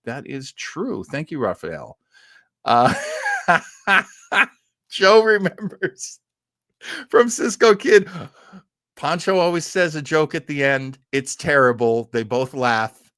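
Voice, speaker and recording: Guy hosting a radio fan livestream, super animated pitch, rambly and halting, excited, dry room sound. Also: no echo. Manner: rambling, halting, chuckles and goes off on tangents; enthusiastic. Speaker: host of a livestreamed old-time radio listening show. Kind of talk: casual chatty livestream talk to viewers.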